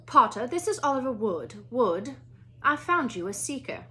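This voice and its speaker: A woman reading a storybook, voicing a stern older female schoolteacher in a crisp, commanding manner. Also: a little high, big pitch swings, normal speed, bright, forceful tone, pitched above the performer's own voice. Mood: crisp, excited.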